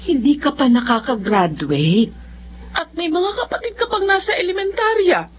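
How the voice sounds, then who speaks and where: A woman's voice: high voice, lively intonation, moderate pace. An actress playing a long-suffering, pleading battered Filipino mother in a radio drama. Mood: weary, resigned. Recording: muffled recording with background hum.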